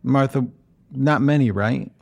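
A man's voice: medium pitch, measured pace, creaky, darkish tone. A middle-aged man interviewing a singer, chatty and full of compliments, with frequent ums. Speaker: radio interviewer.

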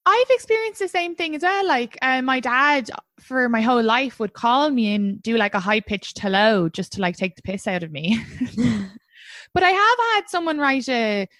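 A woman's voice: a little high, expressive, normal speed, a bit bright, dry recording. An Irish woman in her thirties hosting a podcast, chatting casually and laughing often. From Ireland. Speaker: podcast host. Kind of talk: casual chat.

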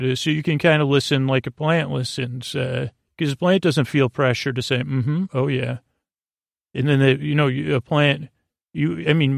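A man with a gravelly, creaky voice hosts a bedtime podcast in a dry, close sound. He rambles haltingly from one absurd tangent to the next, in a low-stakes monologue meant to lull people to sleep. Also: no room sound. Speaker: podcast host.

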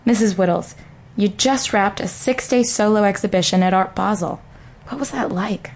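A woman plays a straight-faced arts correspondent who asks questions in an earnest, composed way. Her delivery is steady and measured, in an ordinary mid-range voice. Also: mid-range voice, lightly acted. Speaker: comic news correspondent. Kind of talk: deadpan parody news interview.

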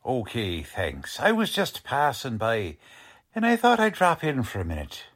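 A man's voice: mid-range voice, wildly swooping pitch, a little slow, a bit bright, full character voice. A man playing a cocky, folksy police lieutenant with slangy, ungrammatical talk in 1940s radio drama style. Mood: jovial, blustering. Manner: slangy, ungrammatical tough-guy speech.

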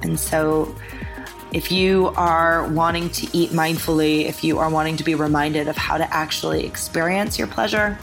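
A woman's voice: deep voice, creaky. A woman hosting a podcast in a casual, effusive and affectionate conversational way. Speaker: podcast host. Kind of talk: casual conversational interview and sponsor read.

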